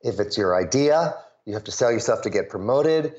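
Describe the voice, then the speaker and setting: A man's voice: fairly low pitch, moderate pace. Man telling sales stories, slightly muffled. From suburbs of Chicago, US Midwest. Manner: animated storyteller, acts out quoted voices. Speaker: guest, sales pitch coach. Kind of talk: interview answers with stories.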